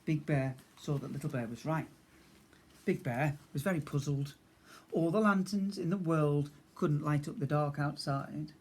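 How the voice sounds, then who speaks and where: A man narrating a children's storybook like a teacher, in a measured, expressive way. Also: a little high.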